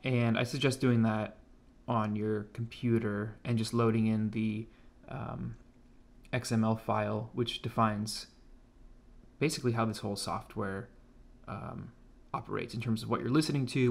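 A man's voice: fairly low pitch, fairly even intonation, normal speed, gravelly. Casual American man demoing tech. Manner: laid-back, informal. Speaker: hobbyist presenter of an electronics project. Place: indoors at a home computer desk.

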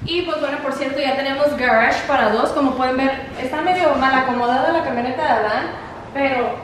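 A woman in her twenties giving a casual house tour vlog, bubbly and enthusiastic, using informal slang. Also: sits high. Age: 20s. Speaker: vlogger. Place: empty rooms of a house, indoors. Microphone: camera held by another person, few metres away. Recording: echoey empty rooms, distant mic, faint noise.

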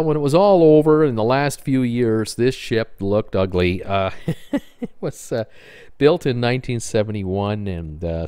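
An older man with a low voice talks through photos of old cruise ships in an easygoing vlog style. He rambles with plenty of fillers and sounds wistful. The recording is close and dry. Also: darkish tone, clean tone, no echo. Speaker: cruise vlogger. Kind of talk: casual narration over photos.

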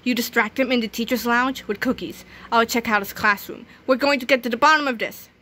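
Woman as a brisk lunch lady hero. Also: fairly high pitch, steady tone, sharp tone. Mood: determined.

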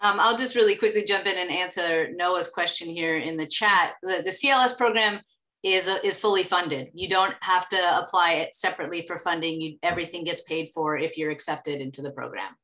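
A woman's voice: lowish voice, a little fast, pushed and bright. A woman explaining programme details as an adviser in an online information session. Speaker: scholarship adviser. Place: indoors on a video call.

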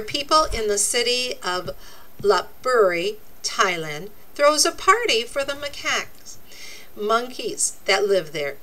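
Slow, bright, animated woman reading aloud. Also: fairly high pitch, low-quality recording. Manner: deliberate, expressive teacherly reading. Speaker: narrator reading a children's book aloud. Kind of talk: read-aloud children's nonfiction. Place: indoors, book laid open before a camera.

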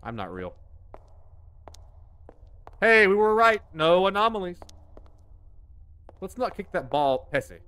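A man in his thirties with a drawl rambles and cracks jokes while streaming a game. His voice is clean and resonant, and his pitch leaps around as he talks. He is recorded close on a headset mic at his home desk. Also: huge pitch swings, slow pace, long pauses, a bit bright, clean tone, dry recording. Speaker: gaming streamer. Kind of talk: casual live commentary while playing.

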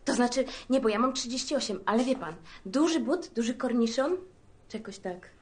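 A brassy older hustler with a high, bright voice, boastful and foul-mouthed, all swagger and extravagance. She swears freely and talks herself up in a film scene. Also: moderate pace, husky. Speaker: film character, a broke woman selling shoes. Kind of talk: film acting.

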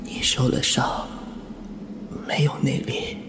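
A man playing a faint, breathy injured youth. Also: takes it slowly, in a whisper, close to an everyday voice. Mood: weak, questioning. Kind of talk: Chinese wuxia radio drama acting. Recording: echoey, loud music underneath.

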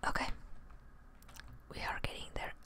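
A young woman whispering soft, breathy, casual ASMR commentary over video game play, close to the microphone. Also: moderate pace. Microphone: close microphone, headphones on.

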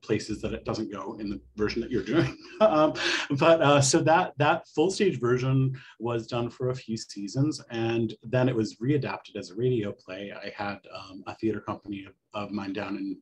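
A middle-aged American man from Connecticut with a husky voice, chatting casually as an interview guest on a video call. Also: fairly low pitch, moderate pace, slight background noise, poor audio. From Connecticut, United States. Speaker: guest playwright.

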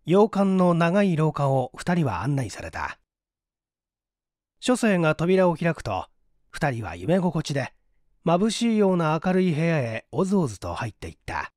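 A man's voice: fairly high pitch, very animated, a little slow, darkish tone, dry recording, clean studio sound. A man narrating a story as a dramatic, scripted audiobook reading. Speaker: audiobook narrator, stage actor.

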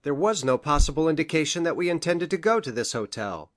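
Woman playing deep-voiced, earnest gentleman doctor. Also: animated, a little fast, no echo, studio quality. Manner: formal, deferential. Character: earnest, deferential male doctor, formal and wondering. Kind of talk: acted audiobook dialogue.

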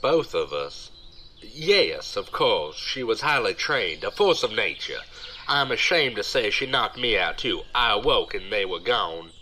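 A man plays a smooth-talking, excuse-making buddy in an audio drama. His voice is fairly low and forceful as he spins his tale with earnest drama. Faint noise sits behind him. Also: sing-song, measured pace, full character voice. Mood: defensive. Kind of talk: audio drama acting, storytelling.

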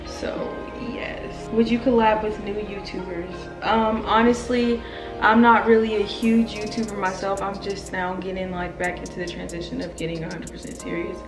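A woman's voice: medium pitch, flat delivery, moderate pace, recorded from a distance, music in the background. Chatty young woman in a roomy room. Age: 20s. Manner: chatty, blunt and playful, with slang and swearing. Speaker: YouTuber answering fan questions. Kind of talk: casual Q&A vlog. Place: indoor room near stairs. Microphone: camera mic, filmed from below.

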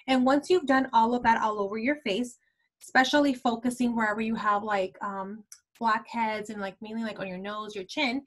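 This is a woman in her twenties walking her sales team through skincare products. Her voice sits a little high, and her talk is casual and rambling, full of "like" and "um". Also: fairly even intonation, moderate pace. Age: 20s. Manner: chatty, many fillers like "like" and "um". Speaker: team leader of a beauty sales team.

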